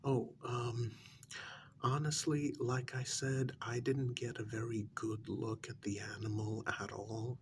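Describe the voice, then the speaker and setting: A man playing a polite, hesitant working man placating his boss in a soft, partly whispered ASMR role-play, halting and deferential. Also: medium pitch, flat delivery, slight background noise, natural voice. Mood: careful, explaining. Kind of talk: soft-spoken ASMR role-play, one side of a conversation.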